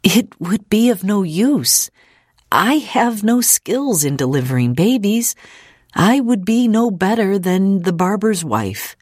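A woman's voice: mid-range voice, big pitch swings, slow, darkish tone, no echo, studio quality, pitched above the performer's own voice. A woman voicing an impassioned, indignant young woman, pleading and exclaiming, in an audiobook. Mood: earnest. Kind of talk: audiobook character acting.

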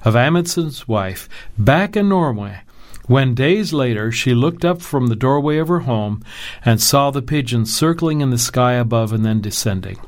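A man hosting a Bible discussion program, reading a scripted opening and then interviewing a guest in an earnest, measured tone. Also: mid-range voice, animated. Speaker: radio Bible program host.

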